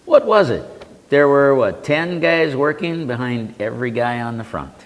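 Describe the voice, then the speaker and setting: An older veteran bluntly recounting war memories, with salty language. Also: male voice, medium pitch, expressive, normal speed. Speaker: veteran panelist. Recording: reverberant community TV studio.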